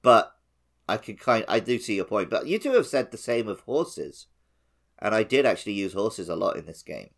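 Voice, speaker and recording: Casting: podcast co-host with a very animated, up-and-down delivery for casual gaming chat. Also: medium pitch, normal speed, vocal fry. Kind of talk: casual podcast chat about a video game.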